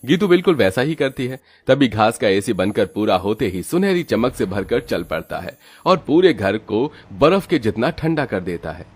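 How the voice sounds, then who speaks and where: A man's voice: medium pitch, big pitch swings, moderate pace, few pauses, husky. A man narrating a scripted moral story as its storyteller.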